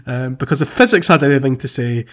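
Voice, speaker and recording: A man's voice: mid-range voice, expressive, quick pace, fairly bright tone. A man hosting a podcast and chatting casually about a video game. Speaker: podcast host. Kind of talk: casual gaming podcast chat.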